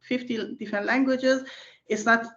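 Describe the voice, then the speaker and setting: A woman's voice: a little high, fairly even intonation, moderate pace, fairly bright tone, highs cut off. A woman whose first language is Persian, a research scientist answering interview questions in a thoughtful way with many fillers and restarts, heard over a video call.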